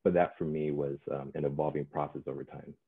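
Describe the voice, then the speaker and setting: A man whose first language is Spanish gives a measured, steady personal account on a video call panel. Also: very deep voice, a little hiss or hum. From California. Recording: tinny phone-like call audio.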